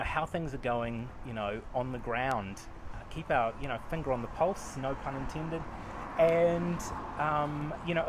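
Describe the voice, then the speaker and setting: A man's voice: a little high, background noise. New Zealand man chatting in an interview. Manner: relaxed, self-deprecating, many fillers. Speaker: acupuncturist and researcher. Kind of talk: casual interview answers. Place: outdoor veranda, daytime. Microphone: lapel mic.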